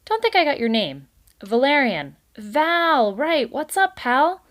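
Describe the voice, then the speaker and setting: Woman playing a brash, loud beaver boss. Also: sits high, sing-song, slow pace, pitched above the performer's own voice, full character voice. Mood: boastful, hearty. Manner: breezy salesman patter, slangy, emphatic. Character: brash, booming, slick male beaver boss.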